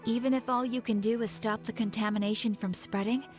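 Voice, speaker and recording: A composed woman lays out an urgent request in a measured, earnest tone, voiced by an actress. Her voice sits a little high. Faint game music plays behind her. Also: steady tone, darkish tone, natural voice. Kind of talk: video game voice acting.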